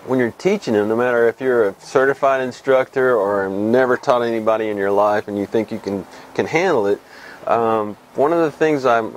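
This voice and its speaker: A man in his fifties from Texas hosting a casual weekly fly fishing report to camera, relaxed and rambling with many pauses, on a lapel mic outdoors. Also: mid-range voice, a little hiss or hum, low-quality recording. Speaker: fly fishing show host. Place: outdoors under a shed with corrugated metal wall.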